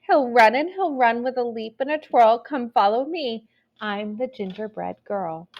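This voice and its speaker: A woman's voice: a little high, unhurried, lo-fi sound. A woman voicing a plucky gingerbread girl cookie in a storybook, with teasing, sing-song rhymes. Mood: triumphant. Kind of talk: storybook read-aloud.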